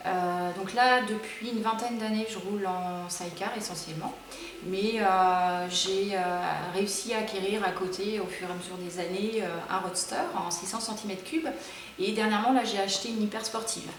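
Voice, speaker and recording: A woman's voice: mid-range voice, fairly even intonation, normal speed. A woman in her forties from France, a motorcyclist chatting through interview answers with many fillers. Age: 40s. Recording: roomy, distant mic.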